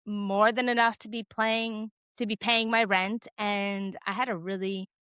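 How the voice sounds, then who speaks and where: A woman in her forties with a naturally loud voice, frankly telling a personal story on her vlog with lots of casual fillers. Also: medium pitch, flat delivery, unhurried, clear, resonant tone, no echo, clean studio sound. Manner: chatty, frank, many "like" fillers. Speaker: vlogger.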